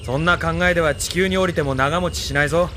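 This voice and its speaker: An actor playing a brisk, confident young military pilot in an audio drama. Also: male voice, on the high side. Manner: assured, brisk. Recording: loud vehicle noise behind.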